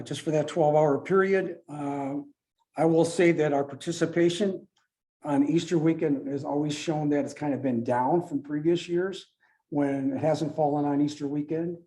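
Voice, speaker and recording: A man giving an informal club presentation and answering questions as an organizer, with a halting, hesitant delivery. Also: a little high, warm tone.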